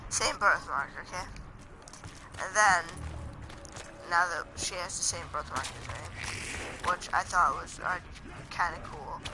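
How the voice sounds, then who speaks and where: A young man chatting casually and hesitantly over gameplay, his voice scratchy and strained from yelling. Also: sits high, slow pace, long pauses. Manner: hesitant, meandering. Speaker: gaming video host. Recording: loud game music and sound behind. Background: video game music.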